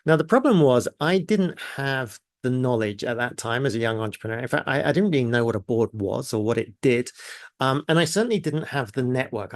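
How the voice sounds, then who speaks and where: A man's voice: medium pitch, no room sound, clean studio sound. Chatty man with a mixed Kiwi-British accent. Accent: mixed New Zealand and British. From New Zealand. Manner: chatty, filler-heavy, addresses audience in chat. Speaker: webinar presenter. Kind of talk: live online masterclass.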